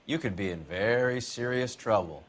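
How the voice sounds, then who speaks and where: An actor playing a sly, mocking 1940s detective who trades wisecracks and insults. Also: male voice, on the low side, moderate pace, lightly acted. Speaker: police detective partner. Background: music score.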